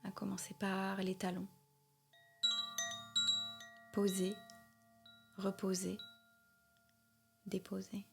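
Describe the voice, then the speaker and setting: Slow-paced woman guiding meditation. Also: mid-range voice, monotone, creaky, over music. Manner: soothing, gentle, with long pauses between short phrases. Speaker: yoga nidra guide. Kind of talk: guided meditation.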